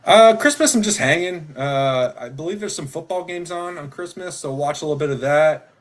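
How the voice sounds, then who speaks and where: Male podcast co-host, relaxed and halting, slightly higher pitch. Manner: laid-back, halting. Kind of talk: casual sports show chat.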